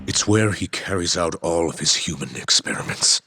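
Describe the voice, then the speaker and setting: A man's voice: low-pitched, lively intonation, normal speed, music in the background. An actor playing a cornered male informant in a video game, formal, hesitant and evasive in the way he talks. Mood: guarded. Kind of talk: video game cutscene dialogue.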